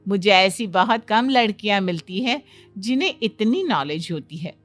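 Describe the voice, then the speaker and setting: A woman's voice: mid-range voice, expressive, normal speed, faint music behind, lightly acted. A woman voicing an approving, reassuring female doctor who chats and advises in a Hindi cartoon story. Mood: approving, chatty. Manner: chatty, advisory.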